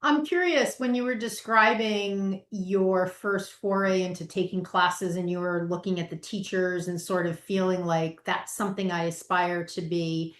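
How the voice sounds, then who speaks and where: A woman podcast host asking interview questions in a measured, curious way. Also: lowish voice, steady tone, roomy sound.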